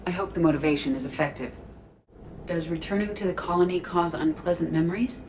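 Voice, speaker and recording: A woman voicing a calm, analytical artificial intelligence in a video game, speaking formally and precisely. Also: lowish voice, a little fast, slight background noise, lightly acted, poor audio. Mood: detached.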